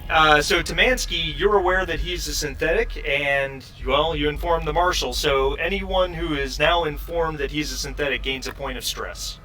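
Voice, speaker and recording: A man running a tabletop roleplaying game as game master, halting as he thinks aloud. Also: fairly high pitch, pushed and bright, a little hiss or hum, low-quality recording.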